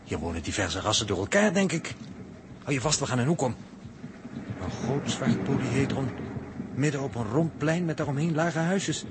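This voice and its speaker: An actor playing an analytical, level-headed captive astronaut in a 1960s radio play, with measured, educated wording. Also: male voice, mid-range voice, very animated. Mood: pondering. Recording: noisy old radio recording.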